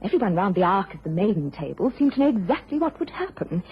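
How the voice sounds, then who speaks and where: A woman's voice: medium pitch, very animated, quick pace, a little hiss or hum, lightly acted. A woman playing a polite, composed lady journalist in a period radio drama, speaking formally and earnestly. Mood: earnest recounting. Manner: well-mannered, formal, earnest. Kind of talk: British period radio drama acting and narration. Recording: muffled old radio recording.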